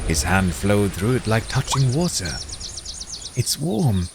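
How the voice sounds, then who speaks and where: A man narrating a spooky short story in an expressive storytelling manner that drops to a hush in the tense moments. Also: on the low side, moderate pace, background noise.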